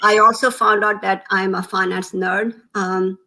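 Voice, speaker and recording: Pakistani lady in her 50s, gravelly voice, earnest, lots of ums, mid pitch. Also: level tone, sharp tone. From Pakistan (Lahore, Punjab). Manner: earnest, many "um" pauses, emphatic lists. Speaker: guest, town comptroller. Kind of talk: candid interview talk.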